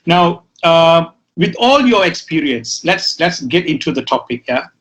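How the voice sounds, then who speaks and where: A man's voice: on the high side, very animated, unhurried, fairly bright tone. Hesitant middle-aged male talk show host. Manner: hesitant, many fillers and restarts. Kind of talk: casual interview.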